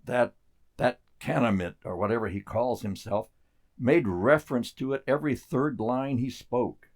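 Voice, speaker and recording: An actor playing a brusque, skeptical military colonel in a radio drama, probing in short clipped phrases. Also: male voice, medium pitch, normal speed, darkish tone, lightly acted. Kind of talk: stage-style radio drama acting.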